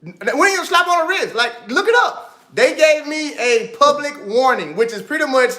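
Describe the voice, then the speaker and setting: A man's voice: extremely high pitch, animated, moderate pace, sharp tone, roomy sound, slight background noise. A man co-hosting a live talk show, blunt and insistent, repeating his point. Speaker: athlete co-host.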